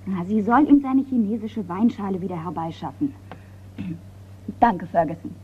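A woman's voice: medium pitch, lively intonation, normal speed, natural voice. An actress playing a curious, teasing young woman in a German radio crime drama, polite and inquisitive. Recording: muffled old radio recording, clear noise.

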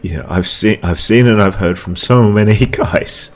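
A man talking alone in a weary, halting monologue with frequent ums and restarts, reacting to a debate for his video channel. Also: low voice. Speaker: video blogger.

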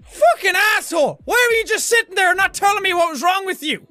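A man's voice: extremely high pitch, sing-song, measured pace, pushed and bright, faint music behind, exaggerated voice. A man playing a cocky, foul-mouthed, manic quack surgeon with a mock German accent, theatrical and erratic, in comedic video game commentary. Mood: frantic, outraged. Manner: theatrical, boastful, swearing, erratic outbursts.

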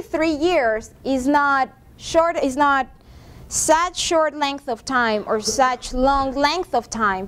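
A woman in her forties presenting a professional development workshop to a seated class, talking conversationally and often checking in with "right?". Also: high voice. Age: 40s. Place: classroom with a seated audience, projector screen. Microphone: far room camera.

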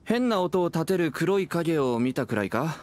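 A man's voice: a little high, very animated, normal speed, darkish tone. An actor playing a protective, irritated young man in an anime game, blunt and brusque with his words. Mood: grudging. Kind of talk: anime game character acting.

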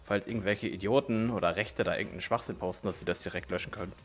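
A man's voice: lowish voice, steady tone, very fast. A man with a German accent and a slight cold, chatting casually and at length as a gaming video host. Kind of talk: casual chat while gaming. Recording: game music behind the voice. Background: video game music.

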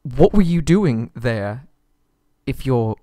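Captive young prince, played by a man for ASMR roleplay: meek, courteous, pleading, slow stammered speech, dry close sound. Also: medium pitch, sing-song, dark tone, dry recording. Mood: nervous, apologetic. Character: nervous, submissive, polite young prince held captive. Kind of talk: ASMR roleplay audio drama.

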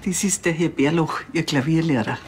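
An actress plays a resigned middle-aged mother in a TV crime drama. She explains things in a low, weary, matter-of-fact way over faint noise. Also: brisk, a little mellow, lightly acted.

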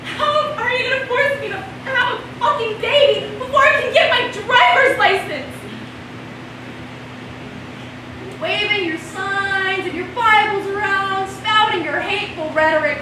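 A woman acting an indignant woman in crisis in a stage play, projecting her monologue with emphatic, impassioned delivery. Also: extremely high pitch. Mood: angry accusing. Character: indignant, anguished women in crisis over lost rights. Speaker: stage actor. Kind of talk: theatrical monologue in a one-act play. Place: indoor stage before a seated audience. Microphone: distant camera. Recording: echoey, noisy hall from far off.

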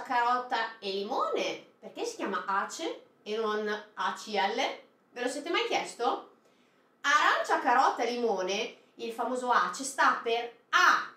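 A woman's voice: fairly high pitch, sharp tone. A woman in her thirties presenting a food box unboxing, talking straight to viewers, asking them questions and giving recipe tips from a small indoor set. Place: small indoor studio set with produce crates. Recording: echoey room.

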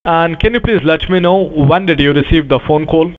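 A man's voice: fairly high pitch, normal speed. A man posing as a government officer over the phone, speaking in formal scripted phrases. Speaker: phone scammer. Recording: tinny phone line.

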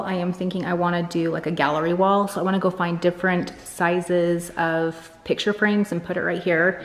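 A middle-aged American woman chatting casually to viewers, informal and thinking aloud as she goes. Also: lowish voice. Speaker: family vlogger.

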